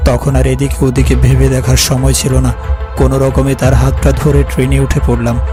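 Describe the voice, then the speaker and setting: A man's voice: medium pitch, completely flat delivery, normal speed. Male horror story narrator over music. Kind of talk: dramatic first-person horror story narration.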